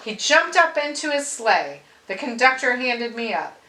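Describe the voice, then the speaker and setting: Casting: female storybook reader, bright forceful tone, measured expressive delivery, roomy echoing recording. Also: a little high. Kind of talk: expressive children's story read-aloud.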